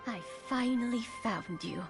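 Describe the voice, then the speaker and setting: Gentle old lady, knowing, slow and deliberate, lilting delivery, video game story, music behind. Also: a little high, vocal fry. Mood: knowing, tender. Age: older.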